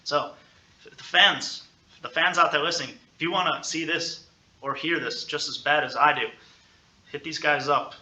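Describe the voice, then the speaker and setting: A man's voice: a little high, fairly even intonation, normal speed, bright, forceful tone. A man hosting a school sports podcast in a casual interview, with a playful, teasing way of hyping up his guests. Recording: roomy, echoey sound.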